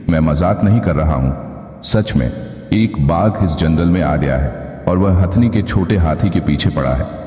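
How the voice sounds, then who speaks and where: A deep, dark-toned bear, played by a man, solemn and concerned. He talks gravely and earnestly, like a jungle elder in a cartoon, in a heavily reverberant recording. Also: lightly acted. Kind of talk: animated animal story dialogue. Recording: echoey with long reverb.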